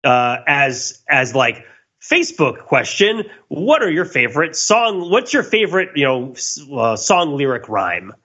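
A man with a fairly high, bright voice and very lively intonation. He co-hosts a casual comedy podcast, explaining things with emphasis and enthusiasm. The recording is a little dull and lo-fi. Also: unhurried. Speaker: podcast co-host. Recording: slightly dull, lo-fi microphone sound.

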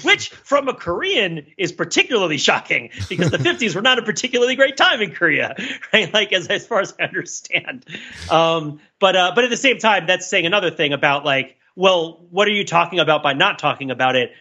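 Bright-voiced, rambling man, higher-pitched. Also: sing-song, fairly quick, breathy edge, highs cut off. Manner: rambling, digressive, analytical. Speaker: podcast panelist. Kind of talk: casual pop culture discussion.

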